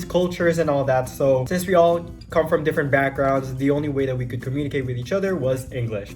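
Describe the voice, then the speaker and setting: A young man from Dubai chatting casually to camera in a rambling, self-correcting way. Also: medium pitch. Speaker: vlogger.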